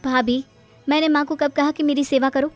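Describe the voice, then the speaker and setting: A woman's voice: high voice, flat delivery, moderate pace, faint music behind, lightly acted. A woman playing an indignant, defensive unmarried schoolteacher daughter of about thirty in a cartoon story. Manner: indignant, protesting. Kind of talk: Hindi cartoon story acting.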